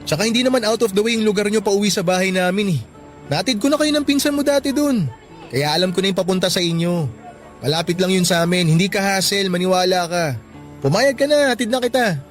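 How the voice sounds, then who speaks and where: A man's voice: high-pitched, fast, soft background music, lightly acted. A man with a Filipino accent playing a protective, frank young office worker in a radio drama, informal and insistent. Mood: friendly, insistent. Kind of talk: Tagalog radio drama acting.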